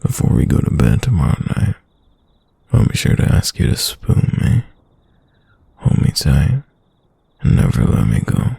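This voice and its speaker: Devoted boyfriend for late-night roleplay ASMR, acted by a man: extremely deep, heavy vocal fry, tender murmur. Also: sing-song, a little slow, long pauses, mellow, no room sound, exaggerated voice. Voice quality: deep, gravelly, breathy. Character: devoted soft-spoken boyfriend whispering to his sleeping lover.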